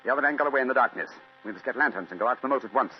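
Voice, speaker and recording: An actor playing a commanding, shrewd British gentleman detective, clipped and theatrical, in a vintage radio drama. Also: mid-range voice, racing, natural voice. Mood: urgent. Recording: dull old radio recording, faint music.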